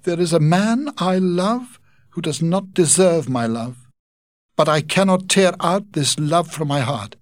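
A man's voice: a little high, animated, measured pace, no echo, pitched above the performer's own voice. A man voicing a heartbroken, earnest young woman in a spoken storybook, pleading and tearful. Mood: miserable.

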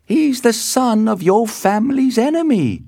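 A man's voice: extremely high pitch, expressive, unhurried, vocal fry, mellow, no room sound, clean studio sound, pitched above the performer's own voice, full character voice. A man voicing a fussy female household nurse in a storybook, prim and emphatic. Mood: emphatic, informing.

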